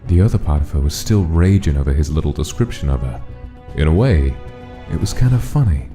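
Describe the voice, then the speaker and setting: Male narrator for dramatic scripted fiction: very deep, extremely warm dark tone. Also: lively intonation, a little fast. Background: music.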